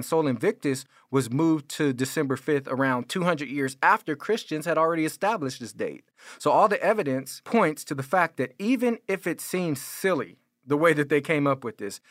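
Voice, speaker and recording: Male American pastor, middling pitch, informal and argumentative teaching sermon, very little room sound. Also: a bit bright, no room sound, studio quality. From California. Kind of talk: sermon, explanatory apologetics teaching.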